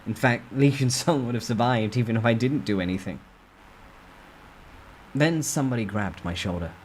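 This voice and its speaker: A man's voice: medium pitch, moderate pace, slight background noise. A man reading a novel aloud as a dramatic first-person storytime narration.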